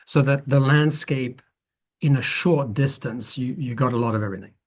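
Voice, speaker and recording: A man in his fifties from the Netherlands, a guest photographer talking at length in a relaxed interview, thoughtful and rambling with frequent restarts. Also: medium pitch, normal speed. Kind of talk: conversational interview.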